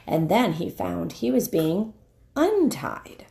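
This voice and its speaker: A woman's voice: lowish voice, very animated, vocal fry, warm tone. A middle-aged woman, a teacher, reading a storybook aloud expressively and stopping to explain it to her listeners. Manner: deliberate, pauses to explain to listeners. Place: indoors, wood-panelled room.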